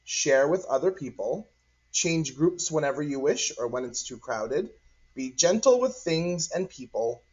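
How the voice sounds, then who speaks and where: A man reading a picture book aloud to children in an animated, husky voice. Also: fairly high pitch, a little slow. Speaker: read-aloud storyteller. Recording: dull, lo-fi recording.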